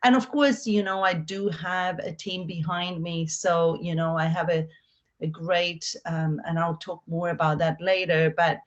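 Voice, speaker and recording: A woman's voice: on the low side, steady tone, unhurried, muffled recording. A middle-aged woman from Argentina with an Argentine Spanish accent, a real estate agent talking in a conversational interview, chatty and upbeat with lots of fillers and restarts.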